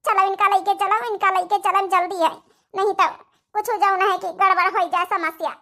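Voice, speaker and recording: A woman's voice: extremely high pitch, little pitch movement, moderate pace, pushed and bright, heavily acted. A woman voicing dramatic, exclamatory village in-laws in a cartoon comedy, with an Awadhi accent. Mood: anxious, urging. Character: scheming young daughters-in-law and fretting mother-in-law, village family.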